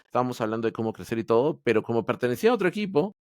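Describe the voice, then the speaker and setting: A man's voice: mid-range voice, very animated, rapid-fire, no room sound, studio quality. A man from Peru, telling his story as a podcast guest in a candid, hesitant way full of restarts. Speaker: podcast guest, Android developer. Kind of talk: casual interview storytelling.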